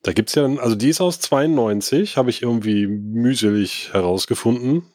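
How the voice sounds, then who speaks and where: A man's voice: mid-range voice, moderate pace, a little mellow, dry recording, studio quality. A man co-hosting a comic podcast, a bit stuffed up with a cold, chatting and joking loosely. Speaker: podcast co-host. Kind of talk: casual chat.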